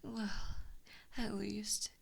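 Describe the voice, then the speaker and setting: Female actor as giant kitsune villainess for ASMR roleplay: unhurried, taunting whisper with creaky edges, mock-sweet and possessive, very close mic. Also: mid-range voice, level tone, full character voice. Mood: teasing, coaxing. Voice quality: whispery, breathy. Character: evil, possessive giant kitsune fox-woman, teasing and cruel. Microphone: close binaural microphone.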